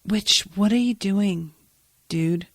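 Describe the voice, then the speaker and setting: A woman's voice: mid-range voice, takes it slowly, dry recording. A woman co-hosting a casual recap podcast, slangy and sarcastic, with an incredulous, exasperated way of talking. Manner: informal, slangy, swears casually. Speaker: podcast co-host. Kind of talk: casual TV episode recap chat.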